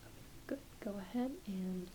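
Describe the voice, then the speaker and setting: A woman performing a soft-spoken, often whispered ASMR roleplay as a doctor, talking gently and reassuringly to a patient with some hesitancy. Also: mid-range voice, moderate pace, poor audio. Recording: clear background hiss.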